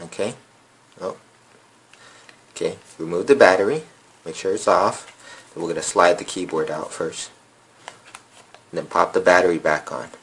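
A man's voice: deep voice, moderate pace, creaky, long pauses, slightly rough, poor audio. A man casually walking viewers through a phone reset step by step, with many ums and uhs. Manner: relaxed, lots of fillers. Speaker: phone repair tutorial host. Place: indoor room at a table.

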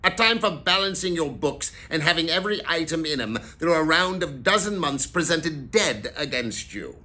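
A man's voice: medium pitch, big pitch swings, a little slow, bright, forceful tone. A man voicing a bitter, miserly old uncle in a storybook, with a stern, scornful growl. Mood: indignant, bitter.

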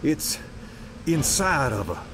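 Stern older exorcist priest. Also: male voice, a little high, big pitch swings, slow, noisy background. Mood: grave. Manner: commanding, solemn, urgent. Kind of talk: video game cutscene acting.

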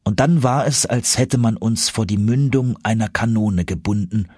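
A man's voice: on the low side, moderate pace, darkish tone, breathy edge, dry recording, studio quality. A man narrating an audiobook in a dramatic, scripted first-person storytelling style.

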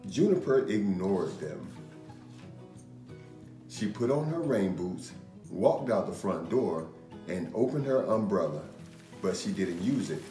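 Man, thirties, low voice, expressive measured storytime reading, animated intonation, picture book narration. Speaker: storytime reader, host. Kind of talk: scripted children's picture book read-aloud. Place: indoor room. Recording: roomy echo. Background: music.

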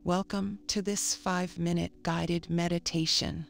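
A woman reading a scripted guided meditation in a calm, soothing, measured manner. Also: on the low side, vocal fry. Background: faint music.

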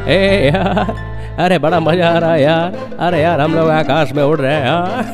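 A man's voice: fairly high pitch, normal speed, over music, close to an everyday voice. A man voicing a grumbling cartoon boy who keeps saying "arre yaar". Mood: excited. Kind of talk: cartoon voice acting.

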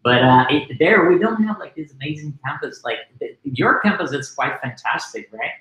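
A man's voice: on the high side, animated, normal speed, bright, forceful tone. A young man from Brazil hosting a live webinar over a video call, talking casually and enthusiastically with lots of fillers. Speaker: webinar host. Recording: roomy echo on a video call.

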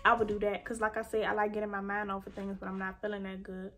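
A young woman in her twenties chatting casually and warmly while she does her makeup, her nose stuffy from allergies. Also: mid-range voice, monotone, quick pace, music in the background. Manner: chatty, heartfelt, colloquial. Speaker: beauty vlogger. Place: bedroom, indoors.

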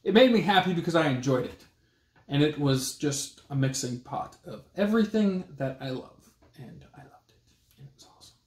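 A man's voice: fairly high pitch, lively intonation, moderate pace. A man in his thirties in casual, teasing banter as a book vlog co-host, recorded in a room at home. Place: small room at home, indoors. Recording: roomy echo from camera distance.

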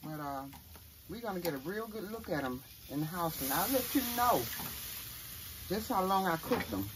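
Low-voiced woman, casual home cook. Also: sing-song, moderate pace. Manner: folksy, often ending statements with "okay?". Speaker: home cooking show host. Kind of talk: casual cooking demonstration to camera. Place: home kitchen, later outdoors at a grill at night. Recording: noisy home video, clear background noise.

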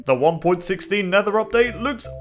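A young man covering game news as a voice-over, his voice slightly hoarse from a coming cold. He pitches a bit high and swings his intonation a lot. Faint background music plays under him. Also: moderate pace. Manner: upbeat, lively, repeats "as you can see". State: hoarse, getting sick. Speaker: gaming channel host.

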